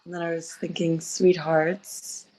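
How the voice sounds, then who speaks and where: A woman in her twenties with a low, raspy voice, chatting eagerly as a musician guest on a video call, full of likes and restarts. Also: level tone, a little slow, dark tone, poor audio. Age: 20s. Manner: enthusiastic, many likes and restarts. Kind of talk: casual interview chat.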